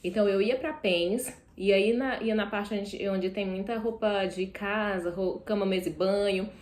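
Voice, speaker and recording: A woman's voice: medium pitch, normal speed, roomy sound. A young Brazilian woman with a Brazilian Portuguese accent, chatting casually to camera while seated indoors and often correcting herself mid-sentence. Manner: chatty, self-correcting, animated asides. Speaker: vlogger.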